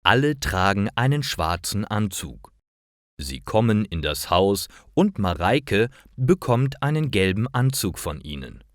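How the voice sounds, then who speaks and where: Slow, expressive low-voiced male narrator. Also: no room sound, studio quality. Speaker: narrator of stories for language learners. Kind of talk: scripted narration of simple learner stories.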